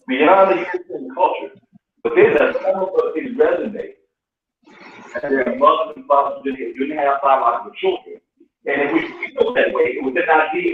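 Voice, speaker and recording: A man talks in a loose group chat, circling back on his points and swearing freely. His voice sits high and comes over a narrow, phone-like line. It sounds distant, wrapped in heavy echo. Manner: rambling, repeats himself, swears. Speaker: podcast participant. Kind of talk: casual group discussion.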